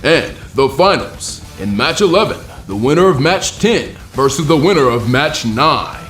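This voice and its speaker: A man playing a commanding tournament proctor, announcing formally and emphatically in a showy, drawn-out arena style. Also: medium pitch, animated, a bit bright, roomy sound, faint music behind, close to an everyday voice.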